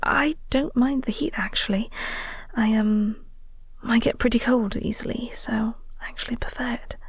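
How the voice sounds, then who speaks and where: A woman playing a flirty, teasing vampire office co-worker in an intimate audio roleplay, speaking softly and breathily with a coy, unhurried manner. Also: on the high side, no room sound, studio quality. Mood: soft, reassuring. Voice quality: soft, breathy, whispery. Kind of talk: intimate audio roleplay, whispered ASMR-like acting.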